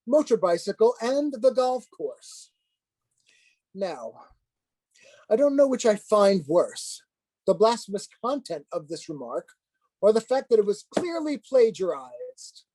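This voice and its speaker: A man's voice: high voice, sing-song, warm tone, no echo, full character voice, low-quality recording. Stern, dryly sardonic priest played by a man. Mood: solemn lecturing. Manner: formal, measured, lecturing. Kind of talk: dramatized play script reading.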